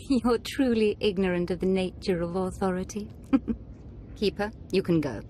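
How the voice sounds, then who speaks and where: A woman's voice: mid-range voice, normal speed, warm tone, a little hiss or hum, close to an everyday voice. An actress playing a capricious, sadistic young noblewoman in a game cutscene, imperious and playfully menacing. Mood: cruel, amused. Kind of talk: video game cutscene acting.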